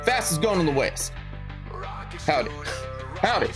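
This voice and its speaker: A man's voice: fairly high pitch, big pitch swings, unhurried. Hammy cowboy outlaw, a male streamer doing a bit; playful western twang, raised voice, music bed. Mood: excited. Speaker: live stream host.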